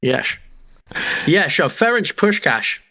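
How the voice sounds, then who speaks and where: A man's voice: a little high, very animated, takes it slowly, pushed and bright. An English man co-hosting a podcast, chatting casually with a joking, mock-serious manner and casual swearing. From England. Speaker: podcast co-host. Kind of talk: casual chat.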